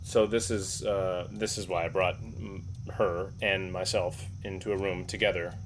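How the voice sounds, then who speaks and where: A male tabletop player talks casually through the game. His pitch sits a little low, and the recording carries clear background noise. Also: level tone, a little slow.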